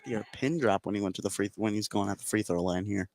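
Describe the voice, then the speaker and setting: A man's voice: lowish voice, rapid-fire. A man giving casual, slangy live analysis as a basketball color commentator. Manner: informal, slangy. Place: indoor school basketball gym. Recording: crowded gym behind the voice. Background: crowd noise in gym.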